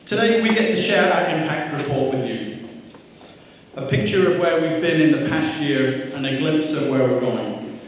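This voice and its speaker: A man's voice: a little high, expressive, normal speed, slight background noise. Upbeat man speaking in an echoey hall. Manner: upbeat, self-deprecating jokes, frequent "uh" fillers. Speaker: CEO giving a welcome speech. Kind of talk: live speech at a breakfast event. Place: church hall with audience. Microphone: handheld microphone. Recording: echoey hall, mic from a distance.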